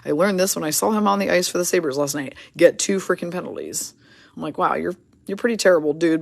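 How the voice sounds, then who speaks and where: A hoarse woman hosting a card break, casually rattling off player names and teams as she opens packs. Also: on the low side, dry recording. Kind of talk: casual card-opening commentary.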